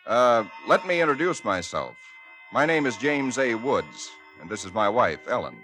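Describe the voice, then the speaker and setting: An American actor playing an ordinary, weary husband in his thirties, speaking in a measured, formal manner in a 1940s-style radio drama. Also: medium pitch, big pitch swings, natural voice. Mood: polite. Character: ordinary 35-year-old husband, chemical engineer, grave and weary. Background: music.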